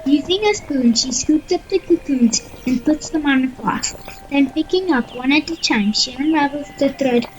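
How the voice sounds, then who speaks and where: High-pitched woman narrating a story. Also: normal speed, a little hiss or hum, rough recording. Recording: faint music behind the voice.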